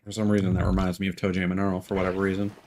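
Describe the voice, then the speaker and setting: A man's voice: low voice, flat delivery, rapid-fire, over music. A man giving casual, rambling live gameplay commentary with slangy asides. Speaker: game streamer. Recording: clear background noise with clicks.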